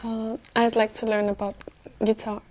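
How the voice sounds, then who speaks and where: A woman's voice: fairly high pitch, monotone, normal speed, darkish tone. A young woman from Brazil, answering exam questions hesitantly with many fillers and short laughs. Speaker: exam candidate.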